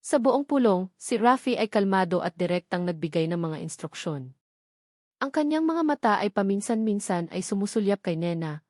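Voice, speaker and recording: A woman's voice: medium pitch, a little mellow, dry recording, clean studio sound. A woman reading a dramatic scripted narration of a romance story.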